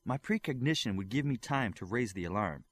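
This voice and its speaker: A man's voice: mid-range voice, expressive, fairly quick, close to an everyday voice. A man voicing a vigilant, loyal young female superhero in an audiobook, firm and matter-of-fact. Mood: firm, watchful.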